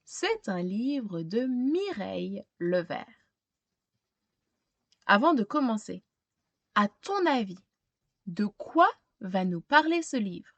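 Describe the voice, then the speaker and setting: A woman reading a children's picture book aloud expressively, pausing to put simple questions to young listeners. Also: medium pitch, sing-song, no room sound, highs cut off. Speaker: storytime reader.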